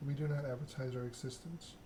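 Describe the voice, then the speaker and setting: A man's voice: mid-range voice, steady tone, fast, background noise. A man doing an ASMR read-aloud of a narrative game, occasionally stumbling over the written text. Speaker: gaming channel host.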